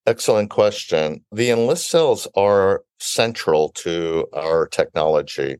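A middle-aged or older man who grew up in Brooklyn, giving measured, articulate answers as an interview guest. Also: low voice, lively intonation, darkish tone, smooth, no echo, studio quality. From Italy-born, raised in Brooklyn, New York. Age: 50s or older. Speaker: interview guest, biotech founder and CEO.

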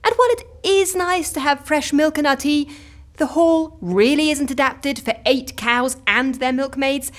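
A woman's voice: high-pitched, a little slow. An actress playing an exasperated, overworked agency woman in an audio comedy, flustered and emphatic in manner. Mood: overwhelmed ranting. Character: exasperated, overworked agency woman barely holding chaos together. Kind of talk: audio comedy fiction acting.

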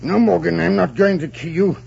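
An actor playing an imperious, scheming colonial governor, pompous and stammering, in 1940s radio drama style. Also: male voice, high voice, fairly quick, gravelly, a little mellow, husky, full character voice. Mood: vindictive. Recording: muffled 1940s radio recording.

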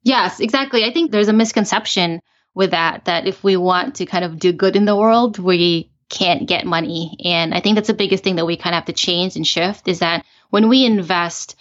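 A woman in her thirties from the Philippines, speaking as a podcast guest. Her voice sits low, and she explains things earnestly, sometimes restarting her sentences. The audio is slightly muffled and lo-fi. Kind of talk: casual interview chat.

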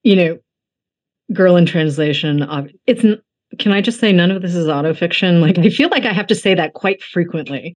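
A woman from the United States hosting a casual book interview, talking informally with animated, self-deprecating asides. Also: lowish voice, moderate pace. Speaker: interviewer, host.

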